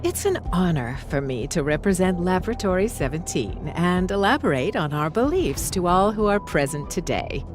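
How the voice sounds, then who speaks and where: Low-voiced, stern scientist. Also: female voice, very animated, normal speed, few pauses, over music. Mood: fervent, grandiose. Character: cold, stern, zealous female research scientist. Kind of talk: scripted video game voice acting.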